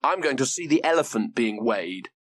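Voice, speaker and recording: A man's voice: medium pitch, animated, moderate pace, no room sound, clean studio sound, lightly acted. A man voicing a sulky, stubborn schoolboy in a children's story, grumbling. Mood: matter-of-fact.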